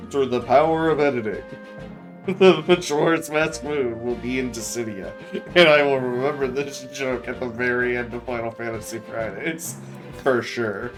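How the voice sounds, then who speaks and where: A man's voice: mid-range voice, measured pace. A man giving casual, self-deprecating gaming commentary with a co-host, speaking with a speech impediment. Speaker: let's play host reading game dialogue aloud. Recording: game music behind the voice. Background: video game music.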